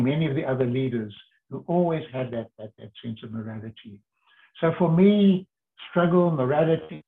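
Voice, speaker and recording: An older man from South Africa, a lawyer, telling his story in an earnest, measured way with pauses. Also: medium pitch. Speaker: interviewee, lawyer. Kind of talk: reflective storytelling. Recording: tinny phone-like line.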